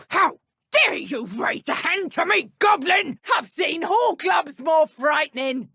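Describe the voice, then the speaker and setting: A woman with a British accent playing an arrogant, menacing dark witch poacher in a video game, with a theatrical, mocking manner. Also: very high-pitched, dry recording, clean studio sound, exaggerated voice. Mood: mocking, fierce. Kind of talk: video game combat voice acting.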